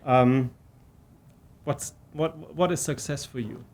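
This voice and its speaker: A man's voice: medium pitch, slow pace, darkish tone. A middle-aged man hosting an interview, asking questions with many fillers and restarts. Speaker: interviewer, university president. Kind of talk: conversational interview.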